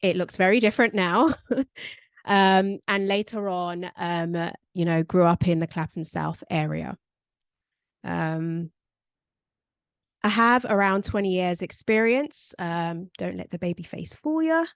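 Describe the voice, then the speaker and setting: A woman's voice: lowish voice, unhurried, clear, resonant tone, no echo, clean studio sound. A woman, presenting a career workshop over a video call in a conversational way with frequent ums. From born in Nigeria, raised in South London.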